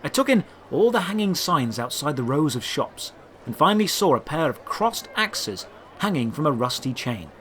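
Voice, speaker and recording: A man's voice: a little high, very animated, normal speed, a bit bright, a little hiss or hum. A man narrating a scripted first-person adventure story, with outdoor ambience behind him. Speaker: narrator and creator of an audio drama.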